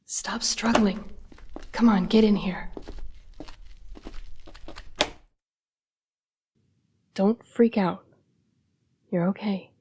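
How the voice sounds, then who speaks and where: A woman playing a young-seeming, formal and apologetic female vampire in an intimate audio roleplay, breathy and often dropping to a whisper. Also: mid-range voice, fairly even intonation, slight background noise, natural voice. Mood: urgent, hushed. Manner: formal, polite, measured phrasing. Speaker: vampire council's correspondence assistant.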